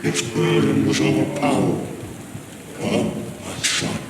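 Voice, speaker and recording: A man's voice: fairly low pitch, little pitch movement, heavy background noise. An actor playing a demonic tyrant father, a mocking and menacing villain delivering grand speeches in a video game. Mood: mocking, grandiose. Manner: slow, grandiose, taunting. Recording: roomy echo under loud music.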